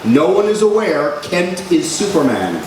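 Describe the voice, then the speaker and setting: A man's voice: a little high, sing-song, a little slow, darkish tone, recorded from a distance. A man acting as the dramatic, suspenseful narrator of a superhero radio serial in 1940s style. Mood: grand, rousing. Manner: theatrical, suspenseful, declamatory. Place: large room, live radio show recreation. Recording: roomy, noisy live stage recording from afar.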